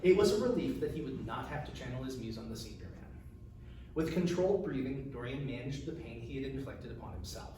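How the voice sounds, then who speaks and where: A man's voice: mid-range voice, recorded from a distance. Man, formal storytelling, fantasy book reading, very echoey room, faint noise. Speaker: author reading own novel aloud. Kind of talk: narrated fantasy fiction reading. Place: echoey room, recorded from a distance.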